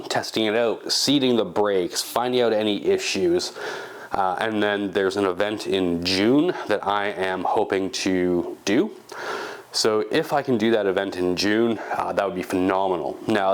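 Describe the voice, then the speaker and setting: A congested, sick man casually talking through a project update in his garage workshop, rambling with fillers as he thinks aloud. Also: on the low side. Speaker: channel host.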